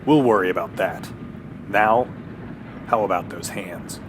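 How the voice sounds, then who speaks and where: An actor playing a stern, blunt train conductor in an Old West audio drama, gruff, authoritative and folksy. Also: male voice, a little slow. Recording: clear vehicle-like background rumble.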